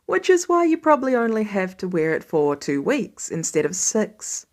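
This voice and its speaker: A woman voicing a tired, protective middle-aged father with a dry, measured manner, in a story reading. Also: medium pitch, big pitch swings, natural voice. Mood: wry, stern.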